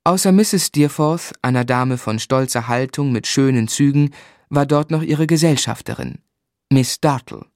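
Male literary narrator , played by an actor recalling his youth; medium pitch, measured, reflective, very dry sound. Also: dry recording, lightly acted. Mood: observant.

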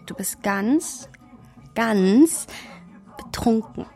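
A woman's voice: a little high, animated, very slow, gravelly, mellow, soft background music, exaggerated voice. A woman playing a young woman who narrates a radio play and teases her friend, wry and observant. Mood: teasing. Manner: wry, observant storytelling mixed with playful dialogue.

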